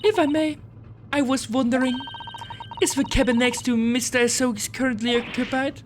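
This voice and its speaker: A man voicing a polite, soft-spoken young woman assistant in a game. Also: very high-pitched, steady tone, normal speed, noisy background, voice pushed up.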